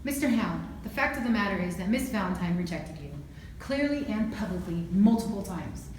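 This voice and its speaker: A woman playing a stern, formal courtroom prosecutor in an amateur student film, questioning in a measured, accusatory way. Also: medium pitch, lively intonation, darkish tone, lightly acted. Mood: accusing. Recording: very echoey room, distant mic, faint noise.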